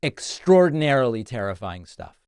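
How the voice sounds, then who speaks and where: A man's voice: medium pitch, very animated, moderate pace, a little mellow, clear, resonant tone, no room sound, studio quality. A man from Argentina whose first language is Spanish, hosting a political commentary monologue with emphatic, scornful delivery. He reads quoted posts in a mocking tone. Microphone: studio broadcast mic.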